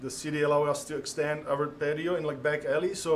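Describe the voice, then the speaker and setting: A man's voice: fairly high pitch, steady tone, slight background noise. A middle-aged man from the Czech Republic with a Czech accent, a restaurant owner talking casually in an interview with many fillers. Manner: halting, many fillers, laughs easily.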